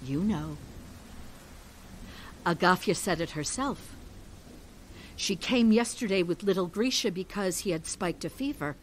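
Low, stern woman healer. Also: lively intonation, a little hiss or hum. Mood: cold accusation. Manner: insistent, commanding, deliberate. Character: driven, stern middle-aged woman village healer. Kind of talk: audio drama acting.

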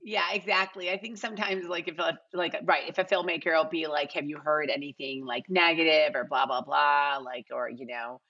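A woman's voice: low-pitched, fairly even intonation, normal speed, hardly any pauses, sharp tone, slightly rough. A middle-aged woman chatting casually as a podcast guest, in a conversational way peppered with "like" and "you know". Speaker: guest, casting director. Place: large living room at home, indoors. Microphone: video call, no close mic seen. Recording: slightly dull video-call audio.

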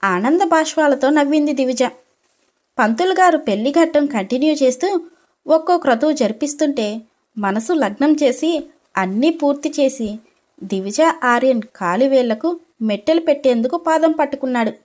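Woman, high pitch, scripted storytelling, narrator. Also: lively intonation, normal speed, a little mellow.

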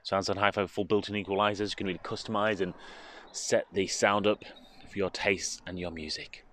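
Low-voiced British man presenting. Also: fairly even intonation, fairly quick, a bit bright. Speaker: car dealership presenter. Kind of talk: walkaround product presentation, semi-improvised commentary. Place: outdoors in a car park, partly inside a car.

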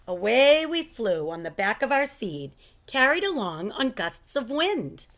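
A middle-aged woman reads a children's story aloud. Her voice sits fairly high and moves with lively, expressive swings. She takes her time, telling it deliberately as a teacher would. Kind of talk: expressive children's picture book read-aloud. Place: living room at home.

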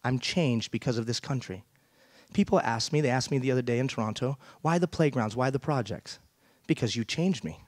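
A middle-aged man delivers an emphatic, rhetorical keynote from a big theater stage. He speaks through a headset mic at a middle pitch, and his voice sounds close and dry. He keeps turning to the audience with questions. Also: brisk, no room sound. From Iran. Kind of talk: motivational keynote speech.

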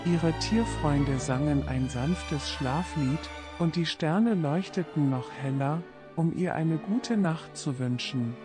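Slow-paced male bedtime storyteller over music. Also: on the high side. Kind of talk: scripted bedtime story narration for children. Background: orchestral string serenade music.

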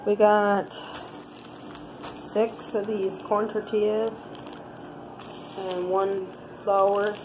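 Congested woman with a flat, stuffy voice. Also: mid-range voice. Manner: plain listing, unhurried, unscripted. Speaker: family channel host. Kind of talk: grocery haul show-and-tell. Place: home kitchen, indoors. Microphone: handheld phone camera. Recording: noisy home phone recording.

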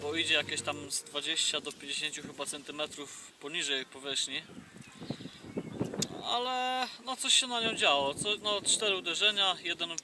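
A man's voice: on the high side, moderate pace, music in the background. A young man chatting casually in a fishing vlog from a boat on a lake, with many hesitation fillers. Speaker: fishing vlogger. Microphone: camera mounted in front of him. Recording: distant mic, loud wind and outdoor noise.

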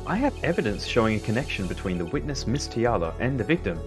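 Man playing an animated, earnest young defence lawyer. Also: fairly low pitch, fast talker, over music. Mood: determined. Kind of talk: live fan dub of a courtroom game.

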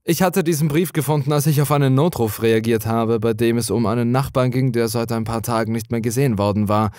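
A man's voice: mid-range voice, fairly even intonation, brisk, a little mellow, no room sound, clean studio sound. A man reading a horror story as dramatic scripted narration.

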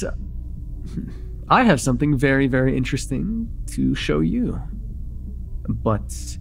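A man's voice: fairly high pitch, vocal fry, exaggerated voice. A man playing an eager, awkward young vampire in an intimate ASMR roleplay, rambling nervously and stumbling over his words. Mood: eager, excited. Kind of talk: intimate ASMR roleplay, one-sided conversation.

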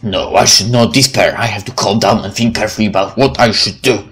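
A man's voice: on the low side, steady tone, fairly quick, fairly bright tone, faint music behind. Man reading a visual novel aloud. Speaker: gameplay streamer.

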